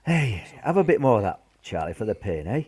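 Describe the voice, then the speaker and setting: A man's voice: fairly low pitch, very animated, a little fast, a little mellow, breathy edge. A man playing a sheepish but reassuring wartime grandfather in an amateur radio play, hesitant and repeating himself. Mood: concerned. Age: older. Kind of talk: amateur radio drama acting.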